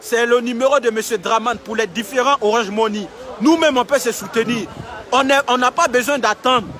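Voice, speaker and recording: High-voiced man livestreaming outdoors. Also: fairly quick, bright, forceful tone, some chatter behind. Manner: emphatic, repetitive, urging viewers. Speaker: live-streaming vlogger. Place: outdoors in a city street camp. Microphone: phone held while filming.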